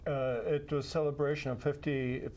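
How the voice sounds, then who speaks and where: A man's voice: fairly high pitch, little pitch movement, normal speed, darkish tone, lo-fi sound. A man who is a folklorist, helping the guest by translating and filling in the story. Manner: helpful interpreter, fills in translations. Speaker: folklorist sitting in.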